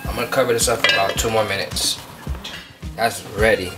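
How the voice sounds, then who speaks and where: A Puerto Rican man hosting a home cooking show, walking through a recipe step by step in a relaxed, chatty way. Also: fairly low pitch, brisk. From Puerto Rico. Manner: laid-back, chatty step-by-step instructions. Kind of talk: casual cooking demonstration. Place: home kitchen. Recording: distant mic under loud music.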